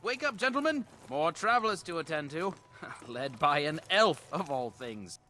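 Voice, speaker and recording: A man's voice: a little high, big pitch swings, normal speed, bright, forceful tone, slight background noise. An actor playing a smug male bandit leader in a fantasy game, glib and theatrical with false courtesy.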